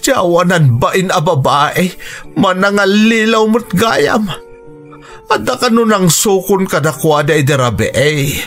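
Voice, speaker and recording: A heartbroken Ilocano father in a radio drama. His voice is high for a man and plaintive, heavy with shame. Soft music runs underneath. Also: expressive, measured pace, full character voice. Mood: dejected, betrayed. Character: ashamed, heartbroken father deceived in love.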